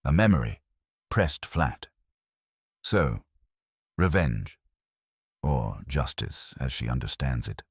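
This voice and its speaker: Male reader as thoughtful English police inspector, mystery story: very low voice, measured and probing, dry close sound. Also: very animated, creaky, slightly rough, dry recording, exaggerated voice. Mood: deliberate, thoughtful. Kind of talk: dramatised mystery audiobook.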